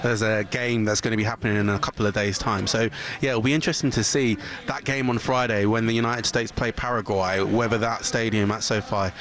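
A man with a slightly hoarse voice, presenting news on location in a live, chatty exchange. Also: lowish voice, steady tone, brisk, a bit bright.

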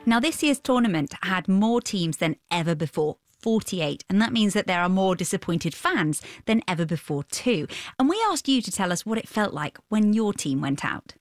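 A woman's voice: mid-range voice, animated, normal speed, hardly any pauses, over music. A woman hosting a podcast, moving between scripted narration and interview questions. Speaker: podcast host.

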